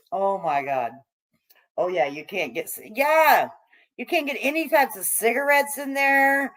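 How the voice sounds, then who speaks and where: A middle-aged or older American woman hosting a casual livestream, chatting with viewers in a salty, slangy, irreverent way on the slightly low side. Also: expressive, slow pace, long pauses, a bit bright. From United States, raised among hippies. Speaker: livestream host reading and answering chat. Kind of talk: casual livestream chat, joking commentary.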